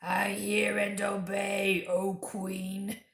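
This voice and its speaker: A woman's voice: mid-range voice, monotone, very slow. Woman voicing a growling, obedient storybook wolf, roomy echo. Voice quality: growling, breathy.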